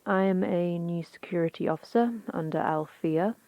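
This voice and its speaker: A woman plays a weary security officer in a sci-fi drama, blunt and guarded. Her voice is on the low side and full of creak, recorded very dry. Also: moderate pace, warm tone, no room sound, lo-fi sound, natural voice. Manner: terse, withdrawn. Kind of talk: audio drama acting.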